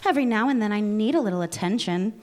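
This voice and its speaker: A woman's voice: medium pitch, expressive, fast, darkish tone, close to an everyday voice. An actress reading a seductive female vampire neighbor at a live table read, purring and mock-sweet. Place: live venue.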